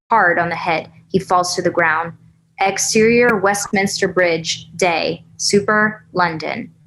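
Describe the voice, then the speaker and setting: Casting: female narrator with a slightly low voice, reading scene headings and action lines. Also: a bit bright, a little hiss or hum, rough recording. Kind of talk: reading screenplay stage directions.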